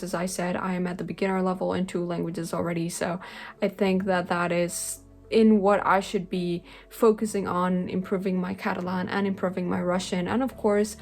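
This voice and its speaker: A young woman whose native language is Spanish, talking earnestly to the camera from her bedroom, with frequent "like" and "um" fillers. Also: mid-range voice. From Spanish-speaking country. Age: young adult. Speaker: language-learning vlogger. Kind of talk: casual talking-head vlog. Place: bedroom, indoors. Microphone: webcam-style camera close in front.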